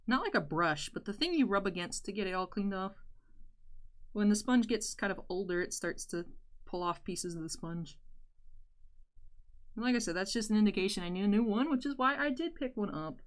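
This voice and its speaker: A young woman with a deeper voice, chatting candidly while doing her makeup for a vlog. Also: animated, moderate pace. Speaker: YouTuber. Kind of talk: casual makeup tutorial chat.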